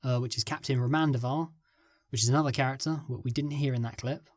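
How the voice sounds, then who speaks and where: Male podcast guest, mid-pitch, halting and filler-heavy, dry close sound. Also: steady tone, no echo. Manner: hesitant, filler-heavy, trails off mid-thought. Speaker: guest script writer. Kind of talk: casual podcast interview.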